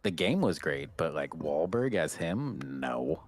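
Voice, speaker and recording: A man's voice: a bass voice, big pitch swings, measured pace. A man co-hosting a podcast in casual chat, rambling and trailing off mid-thought. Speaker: podcast co-host.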